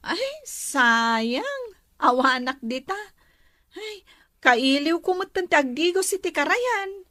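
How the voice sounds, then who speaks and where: An actress playing an eager, obedient young housemaid in a radio drama. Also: female voice, high-pitched, very animated, slow pace, bright, forceful tone, dry recording, clean studio sound, full character voice. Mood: wistful.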